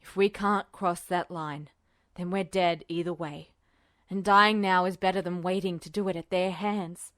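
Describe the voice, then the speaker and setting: Woman acting male military officer, lowered voice, earnest, remorseful, hushed pleading, dry audiobook sound. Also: steady tone, unhurried, no echo. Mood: sorrowful, apologetic. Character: grave, remorseful adult male military officer, desperately resolute.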